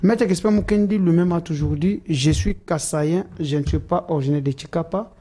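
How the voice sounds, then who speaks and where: A man, answering interview questions about a politician in a halting way with many fillers and restarts. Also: a little high, warm tone, breathy edge. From Democratic Republic of the Congo.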